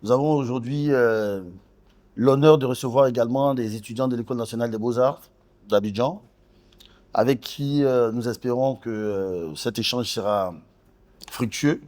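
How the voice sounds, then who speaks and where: A male host conducting a gallery interview in front of students. He speaks formally and deliberately, often halting as he builds a phrase, at a middling pitch. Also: a little mellow.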